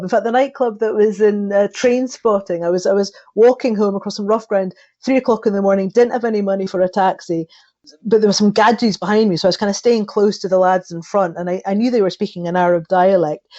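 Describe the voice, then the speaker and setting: A woman's voice: mid-range voice, lo-fi sound. Scottish woman telling a personal story. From Scotland. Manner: animated anecdotal telling with frequent restarts and laughter. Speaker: podcast guest.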